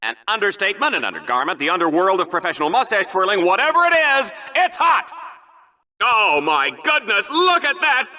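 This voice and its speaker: A man's voice: sits high, very animated, a little fast, pushed and bright, full character voice. An actor playing a flamboyant, vain, manic male announcer in a video game, commentating in a hammy, mock-dramatic way. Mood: showy, excited. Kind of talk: over-the-top video game sports commentary.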